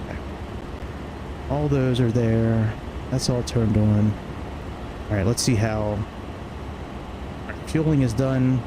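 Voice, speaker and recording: A man's voice: mid-range voice, takes it slowly, long pauses. A man streaming a flight simulator, casually thinking aloud through a checklist in short clipped phrases. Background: cockpit ambience and engine noise from flight simulator.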